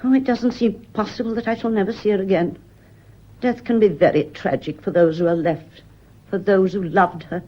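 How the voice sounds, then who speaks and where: A woman's voice: mid-range voice, fairly even intonation, normal speed, darkish tone, natural voice. An actress playing a devoted, prying woman servant, deferential yet pointed, in a period radio mystery. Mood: mournful, sentimental. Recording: dull, muffled old radio recording.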